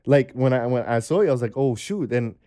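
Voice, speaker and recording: A man's voice: mid-range voice, lively intonation, brisk, warm tone. A man in his twenties, a podcast guest, speaking earnestly and restarting his phrases as he thinks. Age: 20s. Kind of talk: casual podcast chat.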